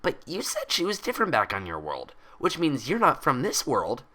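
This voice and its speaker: A man's voice: medium pitch, huge pitch swings, normal speed, bright, forceful tone, voice pushed up, full character voice. A man voicing a hyper, cheerful, gullible young female cartoon pony in bubbly, rambling, childlike chatter. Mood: excited, amazed.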